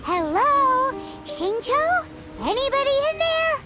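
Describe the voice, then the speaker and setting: A woman voicing a tiny childlike fairy companion in a video game, squeaky, bossy and whiny. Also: big pitch swings, slow, exaggerated voice. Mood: nagging. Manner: whiny, indignant, third-person self-reference. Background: game music.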